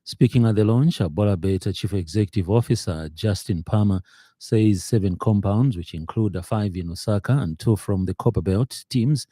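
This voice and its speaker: A male newsreader reads the bulletin in a steady, formal manner from a radio studio. His voice sits low and very dark. The studio microphone gives a close, dry sound. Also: no echo. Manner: measured, formal delivery. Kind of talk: radio news bulletin reading.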